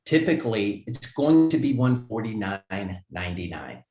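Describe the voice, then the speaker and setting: A man's voice: on the low side, very animated, normal speed, warm tone. A man co-hosting a company livestream in a casual, upbeat way, reading names from the chat and laughing often. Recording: echoey room.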